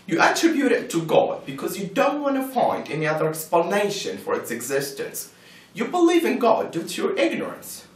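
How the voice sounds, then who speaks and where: Man playing scornful atheist debater, high animated voice, impatient, challenging, roomy echo. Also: moderate pace, recorded from a distance. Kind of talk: dramatized scripted debate.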